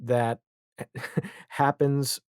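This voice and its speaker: A man's voice: medium pitch, flat delivery, drawn-out words, clean tone, dry recording, clean studio sound. A man chatting casually as an interview guest, wry and opinionated. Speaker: podcast guest, audio drama creator. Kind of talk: casual interview chat about television.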